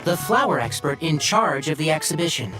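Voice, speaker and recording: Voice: medium pitch, big pitch swings, fairly quick. An actor playing a helpful, level-headed local official in an anime game, with a matter-of-fact, explanatory manner. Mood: informative. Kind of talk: anime game story dialogue. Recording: game audio under loud music.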